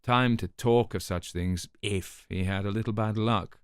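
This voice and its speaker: A man with a low voice narrates an audio story from a script. His delivery is measured and faintly wry, in a literary storytelling style. The sound is dry, with no room around the voice. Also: steady tone, clear, resonant tone, no echo.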